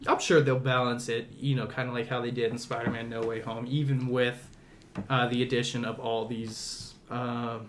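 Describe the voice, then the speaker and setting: A man's voice: mid-range voice, flat delivery, normal speed, noisy background. A man co-hosting a podcast, introducing and discussing films in casual conversation. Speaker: podcast co-host.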